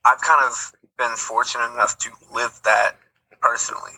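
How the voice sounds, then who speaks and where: Young man, 19, husky, gravelly, hesitant, casual. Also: mid-range voice, level tone, normal speed, bright, forceful tone, poor audio. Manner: hesitant, lots of fillers. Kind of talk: casual chat.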